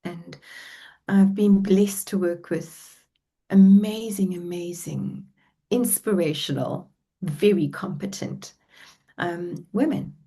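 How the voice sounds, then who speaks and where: A woman's voice: on the low side, takes it slowly, mellow, husky. A middle-aged woman with a South African accent, telling her life story as a guest on a podcast in a reflective, heartfelt way. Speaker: relationship coach guest. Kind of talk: podcast interview.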